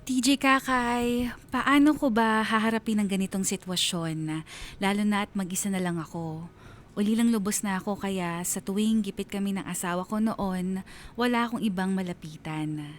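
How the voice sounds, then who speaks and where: A man's voice: sits high, steady tone, moderate pace, fairly bright tone, a little hiss or hum. A man playing a sorrowful, abandoned husband narrating his story in a radio drama, with a Filipino accent. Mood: sorrowful, reflective.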